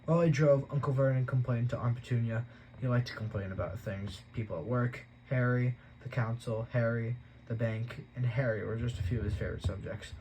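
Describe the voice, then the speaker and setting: Male audiobook narrator, mid-pitched, steady reading, small slips and restarts, faint hiss behind. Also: a little fast, rough recording. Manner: steady reading, occasional slips and self-corrections. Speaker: audiobook reader.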